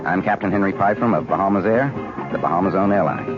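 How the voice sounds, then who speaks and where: An actor playing a welcoming airline captain in a scripted radio travel ad. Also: male voice, low-pitched, animated, fast talker. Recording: muffled old radio broadcast. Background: loud music.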